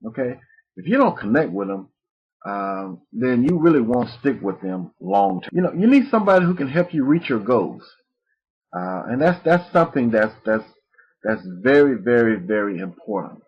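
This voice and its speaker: A man's voice: mid-range voice, very animated, normal speed, breathy edge. A man from Mississippi, presenting a live webinar in a rambling, folksy way with restarts. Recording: muffled lo-fi webinar audio.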